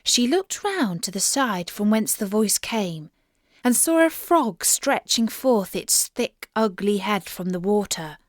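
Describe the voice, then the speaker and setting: A woman storyteller reading a scripted fairy tale for children in an expressive, measured way. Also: medium pitch, dry recording, studio quality.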